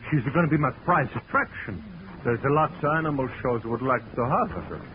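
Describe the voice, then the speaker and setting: An actor playing an effusive, doting circus animal show owner with an Italian accent and broken English, in 1940s radio drama style. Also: male voice, mid-range voice, animated, brisk, natural voice. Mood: agitated, proud. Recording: dull, noisy old radio recording.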